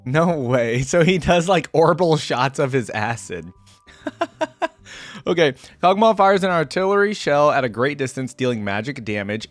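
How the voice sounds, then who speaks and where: A man hosting a gaming reaction video, talking casually with many "like"s and "um"s and reading ability text aloud. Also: mid-range voice. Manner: curious, chatty, many likes and ums, laughs often. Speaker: gaming reaction channel host.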